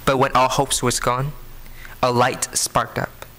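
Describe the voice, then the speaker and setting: Bright-voiced young Vietnamese man giving a speech. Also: mid-range voice, level tone, measured pace, slight background noise. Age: early 20s. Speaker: scholarship program student speaker. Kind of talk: prepared inspirational speech to an audience. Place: live benefit event before an audience.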